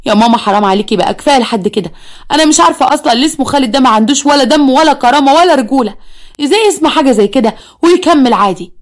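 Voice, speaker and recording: A woman's voice: high-pitched, expressive, rapid-fire, pitched above the performer's own voice. A woman with an Egyptian accent voicing a desperate young married daughter who pleads with her mother. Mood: pleading. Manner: pleading, defiant.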